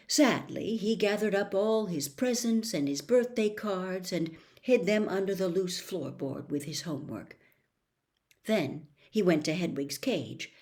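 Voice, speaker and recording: A woman narrating a story as an audiobook reader, expressive and dramatic, with emphatic phrasing. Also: lowish voice.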